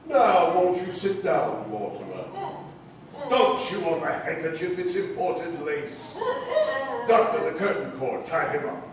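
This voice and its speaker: Heard from a distance in a cavernous, echoing theatre, a man plays a sadistic villain of stage comedy. He talks slowly and deliberately, with cold menace and command. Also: on the high side, very animated. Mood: gloating. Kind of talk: live stage comedy acting. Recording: very echoey theatre, distant mic, noisy.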